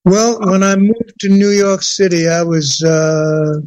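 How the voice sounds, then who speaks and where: An older man from New Jersey, a singer, telling his story in an interview with many pauses and restarts. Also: high-pitched, steady tone, darkish tone, dry recording. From USA, New Jersey. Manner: hesitant, many fillers and restarts. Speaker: guest singer.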